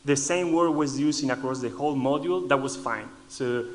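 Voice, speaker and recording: A man's voice: medium pitch, a little fast, noisy background. A man from Argentina with an Argentinian accent, a native Spanish speaker, giving a lightning talk at a conference and often restarting his phrases.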